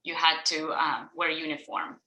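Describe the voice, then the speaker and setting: A woman's voice: deep voice, flat delivery, normal speed, pushed and bright. A woman of about forty from Ukraine with a Ukrainian accent, giving a personal account as an interview guest on a video call. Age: around 40. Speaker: interview guest, college professor. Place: indoor room at home or office, on a video call. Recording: roomy, echoing video call.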